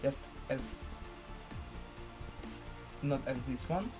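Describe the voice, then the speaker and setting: A man presenting a screen-share software tutorial in halting, deliberate phrases with formal, elaborate wording. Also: medium pitch, music in the background.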